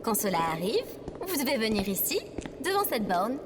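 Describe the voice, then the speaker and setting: A woman acting as a female AI companion in an audio comedy, high-voiced and swooping in melody. She is irritatingly polite, precise and bossy, with a chirpy sarcasm. Clear ambient noise runs behind her. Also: big pitch swings, normal speed. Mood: precise, upbeat. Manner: formal, precise, playfully bossy. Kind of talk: acted audio comedy drama.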